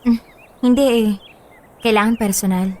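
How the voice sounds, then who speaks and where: A woman playing a sweet, anxious young bride-to-be in radio drama dialogue, girlish and expressive. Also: a little high, normal speed, slight background noise, natural voice. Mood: uneasy. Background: music bed.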